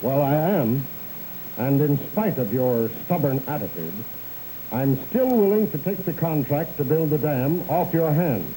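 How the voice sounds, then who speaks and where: Smug falsely polite villain, 1930s serial, mellow dark tone, old tape noise. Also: male voice, on the high side, expressive, measured pace, rough recording. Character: smooth scheming male rival contractor. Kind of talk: 1930s film serial acting. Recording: hissy old VHS film transfer.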